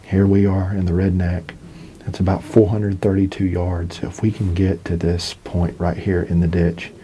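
A young man speaks low and even in a deep, mellow voice, talking his plan through plainly as a hunting host. He is recorded close on a small camera mic inside a cramped blind, with a faint hiss behind him. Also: moderate pace. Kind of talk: casual on-camera hunting talk.

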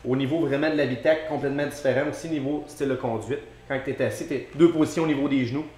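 A man in his thirties with a Québécois French accent explains snowmobile mechanics with quick, confident delivery. His voice sits at an ordinary pitch and bounces around a hard, echoey metal workshop. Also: fairly even intonation, a little mellow, slight background noise. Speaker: dealership specialist. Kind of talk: technical explanation in interview. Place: indoor metal-walled workshop.

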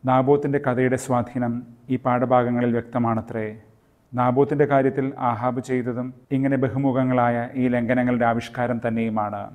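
Even-toned man, devotional reflection. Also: mid-range voice, normal speed, narrow, tinny audio. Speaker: friar, podcast host. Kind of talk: scripted devotional Bible reflection.

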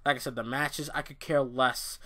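Bright, ranting, foul-mouthed male reviewer. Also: medium pitch, steady tone, normal speed. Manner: blunt, swears a lot, ranting and exasperated. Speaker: wrestling show reviewer. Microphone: off-camera voice-only recording.

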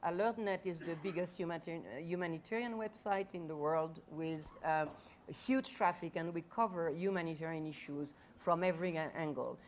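A woman's voice: on the low side, a little hiss or hum. A woman in her fifties from France with a French accent, speaking earnestly on a panel under a large tent, often restarting and repeating her words. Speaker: foundation head on a panel. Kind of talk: panel talk to a live audience. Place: large festival tent with audience.

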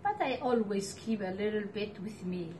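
A middle-aged woman reading a picture book aloud to children, with deliberate, expressive phrasing and pauses. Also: mid-range voice, background noise. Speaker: storytime reader for children. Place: living room at home, indoors.

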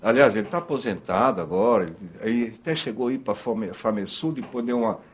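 A man's voice: fairly low pitch, fairly quick, breathy edge. A man in his fifties with a Brazilian Portuguese accent, hosting a cooking show in a studio kitchen, chatting in a joking, rambling way while he cooks. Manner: joking, rambling, self-interrupting; cooking at the counter while talking. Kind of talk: casual cooking-show chat. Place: TV studio kitchen set, indoors.

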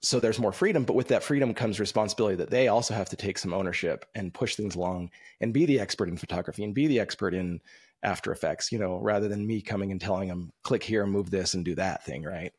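A man in his thirties hosting an interview over a video call from a room with bookshelves, speaking in a relaxed, reflective, conversational way. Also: lowish voice, quick pace, husky. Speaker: podcast host. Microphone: video call, webcam.

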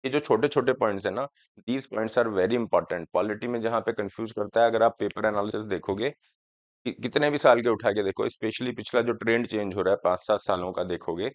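Brief: man in his 30s with a cold, hoarse, lowish voice, patient teacher checking that students follow, dry and slightly dull sound. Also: no room sound. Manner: explaining slowly, often asking students if it is clear. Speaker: exam coaching teacher. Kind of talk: lecture on current affairs, Hindi mixed with English. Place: studio classroom, in front of a screen.

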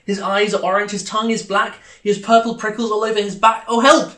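A man's voice: sits high, fairly even intonation, fairly quick, sharp tone, echoey room. A young man reading a children's story aloud expressively. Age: young adult. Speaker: storybook reader. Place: small indoor room, on a sofa.

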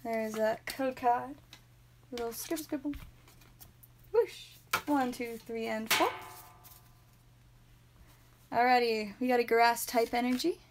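A woman hosting a live card pack-opening stream, chatting playfully and saying sound effects aloud, with a calming voice. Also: fairly high pitch, slow pace, long pauses, background noise. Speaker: trading card stream host. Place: indoor room with whiteboard.

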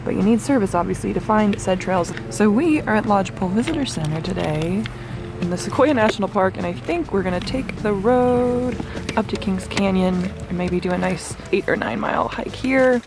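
A woman's voice: medium pitch, moderate pace, over music. A woman in her twenties chatting casually to a handheld camera at a forest campsite, giggling often. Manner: giggly, animated, laughs often. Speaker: vlogger. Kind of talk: casual vlog chat. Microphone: handheld camera at arm's length.

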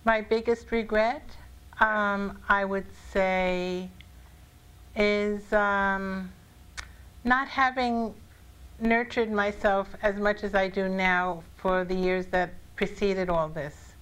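A woman guest author chatting casually on a live talk show, speaking in a reflective, deliberate way with drawn-out phrases. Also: medium pitch, fairly even intonation, a bit bright, clear, resonant tone, low-quality recording.

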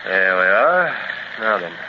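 An actor playing a confident male secret agent in a 1930s radio serial, brisk, reassuring and commanding, heard through an old recording with a faint hiss. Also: on the low side, sing-song, highs cut off. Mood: steady, reassuring.

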